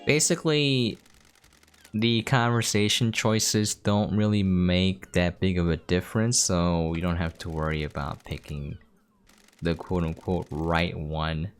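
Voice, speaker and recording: A woman with a very low-pitched voice hosts a game preview. She gives casual gameplay commentary, with faint music behind her. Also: fairly even intonation, a little slow, smooth.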